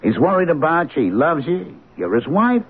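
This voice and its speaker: A brusque, world-weary police captain in a vintage radio play. His husky voice swings widely in pitch with blunt exasperation, on a muffled old recording. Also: big pitch swings, normal speed, heavily acted. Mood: exasperated. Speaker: actor in a radio drama. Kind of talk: old-time radio drama acting.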